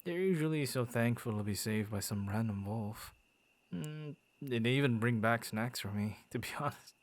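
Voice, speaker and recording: A man's voice: mid-range voice, moderate pace, dry recording, close to an everyday voice. A man voicing a friendly, bashful werewolf who guards his forest, stammering and playfully theatrical, in an ASMR boyfriend roleplay. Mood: amused, chuffed.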